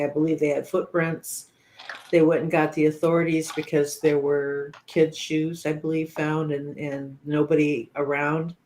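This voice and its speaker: A man in casual conversation, telling stories haltingly and recalling details piece by piece. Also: on the high side, darkish tone, poor audio.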